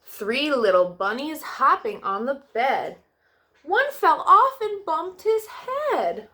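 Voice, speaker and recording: A woman's voice: sits high, sing-song, slow pace, bright, forceful tone. A woman hosting a children's storytime, reading aloud and addressing young listeners in a sing-song way.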